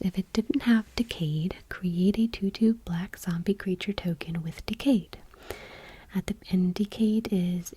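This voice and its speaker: A woman host speaking in a soft, breathy whisper, gently and without hurry, reading text aloud in ASMR style. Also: fairly low pitch, dry recording.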